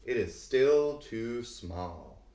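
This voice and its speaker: A man reads a picture book aloud for young children in a roomy, echoing space. His delivery is unhurried and deliberate, with a highly animated pitch that leans into key words for dramatic emphasis. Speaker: read-aloud host.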